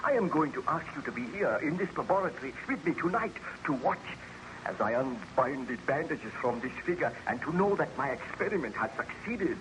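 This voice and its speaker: An actor playing a proud, obsessed young scientist in a formal, theatrical old-time radio drama style, on a noisy recording. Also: male voice, medium pitch, sing-song, brisk, natural voice. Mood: fervent.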